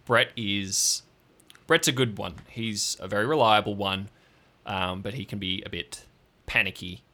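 Man acting stern woman ranger, brusque, exasperated, bright forceful tone, low-pitched, halting delivery, audio drama. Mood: grave, businesslike. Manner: blunt, authoritative, halting.

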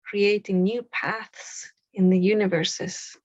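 Slow, soothing woman guiding a meditation. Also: medium pitch, little pitch movement, no echo, highs cut off. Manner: slow, soft, with long pauses. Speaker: meditation guide. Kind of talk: guided meditation.

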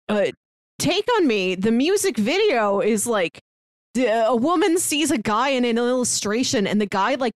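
A woman co-hosting a casual podcast, talking quickly with frequent laughter. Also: mid-range voice, dry recording, clean studio sound. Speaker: podcast co-host.

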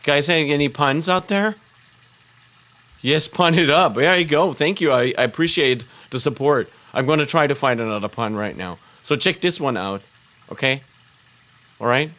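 A man's voice: fairly high pitch, moderate pace, pushed and bright. A man co-hosting a casual live stream, goofy and chatty, throwing out puns and hyping them up to the audience. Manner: goofy, hypes up his own jokes, lots of fillers. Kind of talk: casual banter, telling puns.

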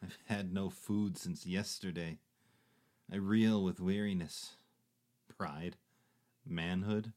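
A performer playing a hungry, ragged young man who speaks low and falteringly from exhaustion. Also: steady tone, takes it slowly, long pauses, darkish tone. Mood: weary.

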